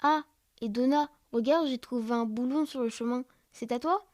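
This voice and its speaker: Woman playing a cheerful, high-voiced chatty little kid. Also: moderate pace, dry recording, clean studio sound, natural voice. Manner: childlike, singsong, eager, talks to self. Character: cheerful, chatty young schoolchild named Avi, dreamy and affectionate. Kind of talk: French audio fiction drama.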